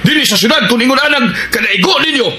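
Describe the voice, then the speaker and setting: Actor as high-voiced hot-tempered Cebuano radio drama man. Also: lively intonation, fast talker, sharp tone, roomy sound, full character voice. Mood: angry, taunting. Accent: Cebuano Filipino. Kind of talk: Filipino radio action drama acting.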